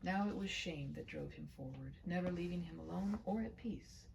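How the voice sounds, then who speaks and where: A woman reading her own short story aloud in a hushed, deliberate way, often slipping into whispers, with slow phrasing and dramatic pauses. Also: on the low side, creaky, noisy background. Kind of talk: read-aloud of a literary short story, soft and ASMR-leaning.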